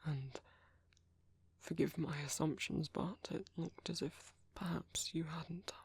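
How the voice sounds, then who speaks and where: A British man playing a gentle, protective vampire priest in an ASMR roleplay, speaking in hushed, hesitant, soothing whispers. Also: a little high, slow pace, vocal fry, breathy edge, no room sound, full character voice. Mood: gentle reassurance. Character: gentle, soft-spoken male vampire priest, protective caretaker.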